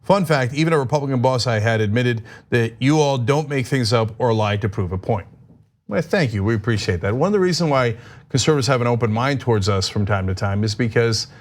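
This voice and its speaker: A man's voice: medium pitch, expressive, fairly quick. Casual male host reading viewer comments. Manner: casual, reads comments aloud, wry asides. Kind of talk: casual chat on a live news show.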